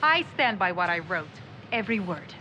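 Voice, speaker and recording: Woman acting a defensive female journalist, haughty, bright forceful voice, animated pitch, audio drama, some background noise. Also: moderate pace, muffled recording. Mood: dismissive, defiant. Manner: haughty, dismissive.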